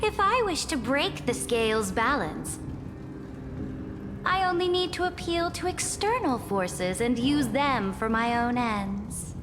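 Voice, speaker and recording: A woman's voice: a little high, big pitch swings, slow pace. An actress playing a proud, defiant female ruler in a video game, with a regal, commanding, theatrical delivery. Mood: proud resolve. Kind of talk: scripted video game acting. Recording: long hall reverb under music.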